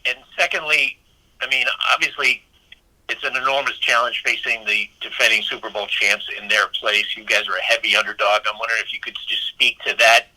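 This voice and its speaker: A man's voice: mid-range voice, fairly even intonation, fairly quick, pushed and bright, rough recording. A man working as a sports reporter, asking questions on a press call in conversational phrasing.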